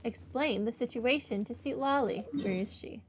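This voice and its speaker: A woman's voice: fairly high pitch, moderate pace, a little hiss or hum. A woman gamer giving casual playthrough commentary and reading some dialogue aloud. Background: faint game music.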